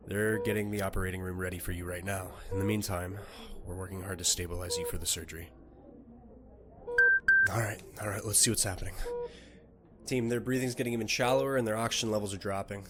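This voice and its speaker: Man acting low-voiced, reassuring emergency doctor. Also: heavy background noise, close to an everyday voice. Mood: brisk, commanding. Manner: gentle, reassuring, authoritative. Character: calm, reassuring male emergency doctor tending critical patient. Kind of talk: audio roleplay acting.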